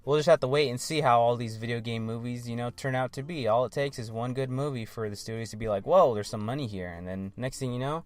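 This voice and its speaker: Wry young American man giving casual commentary. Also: medium pitch. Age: 20s. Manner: wry, asks himself questions and answers them. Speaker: movie vlog host. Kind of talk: casual movie commentary to viewers.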